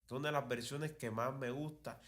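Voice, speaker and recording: A man's voice: medium pitch, fairly even intonation, moderate pace, a bit bright. Cuban man in conversational commentary. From Cuba. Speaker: commentator on radio and television soaps.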